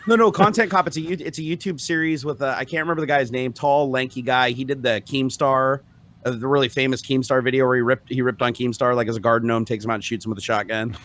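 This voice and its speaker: Quick-talking guy, mid pitch, loose and sweary podcast banter. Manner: fast, animated, swears freely. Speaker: podcast cohost. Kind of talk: casual chat.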